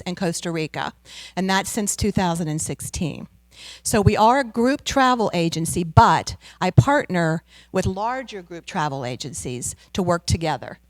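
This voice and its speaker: A middle-aged American woman giving a loud, upbeat seminar talk as a guest speaker into a handheld microphone. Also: mid-range voice, no room sound. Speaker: guest speaker, travel business owner. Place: community center room.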